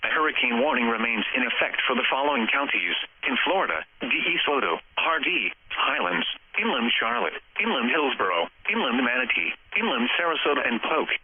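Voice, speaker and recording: A man's voice: mid-range voice, sing-song, brisk, hardly any pauses. A synthetic American man's voice reading a scripted weather bulletin formally and impersonally as a radio announcer, over a radio transmission. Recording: muffled, phone-like weather radio broadcast. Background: radio feed.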